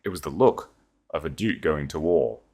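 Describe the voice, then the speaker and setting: A man reads a historical romance audio story with a dramatic, measured delivery. His voice is very deep and low. The narration is scripted and steady, built for listening.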